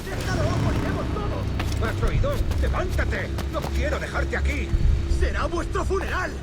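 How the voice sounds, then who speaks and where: An actor playing a panicked Spanish soldier shouting in a video game battle. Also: male voice, very high-pitched, big pitch swings, a little fast. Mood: frantic. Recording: distant shouts under loud music.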